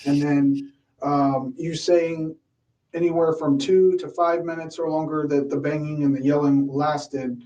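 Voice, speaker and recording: A male police detective questioning a suspect in a measured, explanatory way during an interrogation. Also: on the high side, fairly even intonation, mellow, lo-fi sound. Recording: echoey interview room, distant mic.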